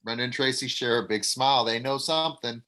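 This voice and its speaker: A man's voice: mid-range voice, fairly quick, pushed and bright. A man reading stage directions and coaching actors at a table read.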